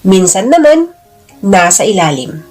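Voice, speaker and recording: A woman's voice: mid-range voice, sing-song, a little slow, a little mellow, faint music behind. A woman voicing a flirtatious, chummy man who banters and gushes in an exclamatory, teasing way for an audio drama. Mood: chummy, wistful.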